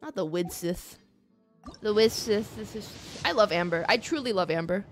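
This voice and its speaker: A woman livestreamer chatting casually over a game, playful, expressive and rambling to the viewers. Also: mid-range voice, moderate pace. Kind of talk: casual game stream commentary. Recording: game music behind the voice.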